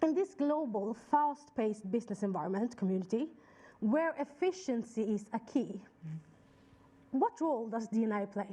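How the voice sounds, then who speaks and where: Polite woman moderator, slightly high, warm. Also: lively intonation, normal speed. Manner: polite, introduces guests formally. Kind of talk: stage moderation. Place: stage at a conference.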